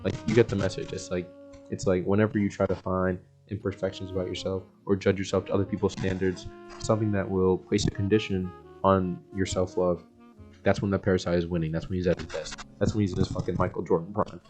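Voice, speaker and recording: A man's voice: sits low, little pitch movement, fast talker, a little mellow, soft background music. A young American man giving a casual self-help monologue in a laid-back, slangy, rambling way. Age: young adult. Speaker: vlogger.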